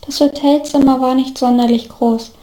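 High female voice, soft dark timbre, story narration, roomy echo. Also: fairly even intonation, moderate pace.

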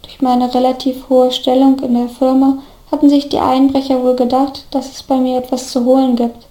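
A woman voicing a devoted, earnest young man in storybook dialogue, gently reassuring his best friend. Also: high voice, little pitch movement, normal speed, echoey room, natural voice. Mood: wistful.

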